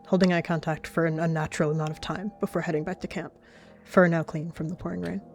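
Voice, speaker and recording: A woman's voice: sits low, level tone, fast talker, a little mellow, a little hiss or hum. A woman giving descriptive story narration for her character in a roleplay.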